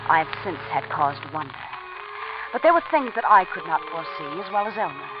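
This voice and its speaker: Poised radio-play murderess, dull old recording. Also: female voice, low-pitched, very animated, brisk, over music. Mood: composed. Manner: poised, formal, precise diction. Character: cool, calculating murderess, outwardly a devoted wife. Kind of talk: 1940s radio acting.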